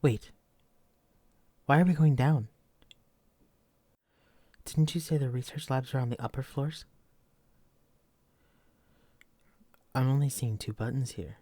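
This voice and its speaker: Man acting out psychic escapee, wary, protective, medium-pitched male voice, horror audio drama, spoken to a companion. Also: brisk, hardly any pauses, mellow, dry recording, lightly acted. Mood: uneasy. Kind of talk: immersive roleplay audio drama, addressing the listener.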